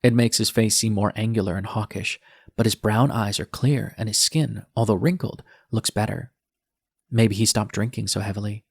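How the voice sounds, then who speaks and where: A man's voice: fairly low pitch, moderate pace, husky, no echo, clean studio sound. A man narrating an audiobook in the first person as a defiant, bitter young male nurse, with a sardonic, edgy manner. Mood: wry, bitter.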